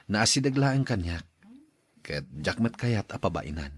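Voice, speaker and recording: A man's voice: lowish voice, very animated, unhurried, slightly rough, exaggerated voice. A man playing an easygoing young man in an audio drama, conversational and mildly defensive. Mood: casual defensive.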